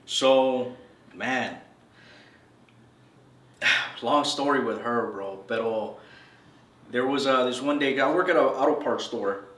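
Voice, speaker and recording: A man in his thirties from El Salvador, sharing his story in a loose, slangy way with "bro" thrown in all the time. His voice sits at an ordinary middle pitch. He is a little off the mic in a roomy, echoing space. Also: takes it slowly, pauses often, fairly bright tone. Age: 30s. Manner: laid-back slangy storytelling, says "bro" a lot. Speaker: interviewee. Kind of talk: casual storytelling interview. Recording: echoey room from a distance.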